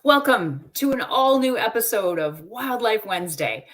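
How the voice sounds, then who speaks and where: A woman's voice: a little high, animated, normal speed, fairly bright tone. A woman hosting a live wildlife webinar, friendly and upbeat, talking conversationally over a video call. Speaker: host.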